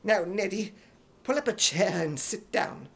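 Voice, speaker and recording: A man's voice: sits high, lively intonation, a little slow, creaky, voice pushed down, heavily acted. A man voicing a blustering, scheming old retired army major in an imitation British accent for radio comedy. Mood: genial bluster.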